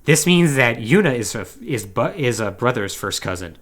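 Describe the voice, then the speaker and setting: Animated man recapping a story, swinging pitch. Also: moderate pace. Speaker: podcast co-host. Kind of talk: casual comedic plot recap, conversational.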